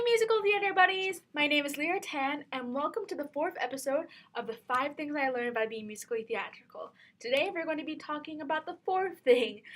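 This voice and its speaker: Bubbly teen girl vlogger. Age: teens. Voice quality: low-sounding voice. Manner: bubbly, animated, with laughs. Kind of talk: casual vlog talk.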